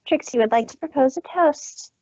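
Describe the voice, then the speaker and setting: Showy pony mare magician played by a woman, grand third-person manner, high mellow pitch, slightly dull recording. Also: normal speed, no echo, close to an everyday voice. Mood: earnest. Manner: theatrical, refers to herself in third person. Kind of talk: fan fiction character reading.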